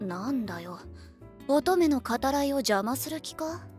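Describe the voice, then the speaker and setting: A woman's voice: high-pitched, lively intonation, fairly quick, darkish tone, faint music behind. A voice actress playing a teenage schoolgirl in a Japanese game. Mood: brusque.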